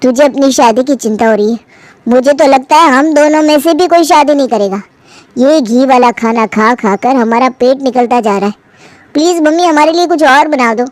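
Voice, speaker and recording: A woman's voice: sits high, normal speed, no echo, lightly acted. A woman voicing a fussy, health-conscious young adult son who protests to his parents. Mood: annoyed, pleading.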